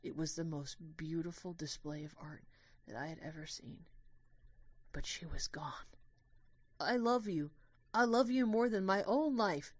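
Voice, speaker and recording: A woman playing an anguished, devoted young narrator in an audio drama, with intense, expressive delivery. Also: low-pitched, measured pace, no room sound. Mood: grieving.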